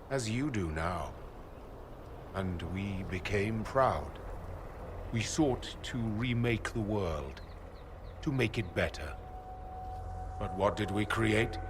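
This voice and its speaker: Game villain role: solemn, proud leader of a knightly order. Lowish pitch, grave oratory, very unhurried delivery. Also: male voice, noisy background. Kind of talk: dramatic video game cutscene acting.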